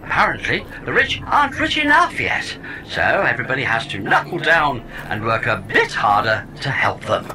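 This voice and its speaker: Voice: medium pitch, big pitch swings, normal speed, few pauses, bright, forceful tone, a little hiss or hum, low-quality recording. An actor voicing a sardonic, talkative companion who lives in someone's head, theatrical and mock-grand, in an audio comedy drama.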